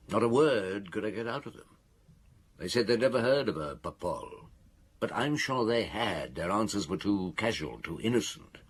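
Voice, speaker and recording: A middle-aged actor playing a weary, reflective chief inspector in a radio drama, speaking in a measured, ruminative way. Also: male voice, sits low, breathy edge. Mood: troubled recounting. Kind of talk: radio drama dialogue and recollection.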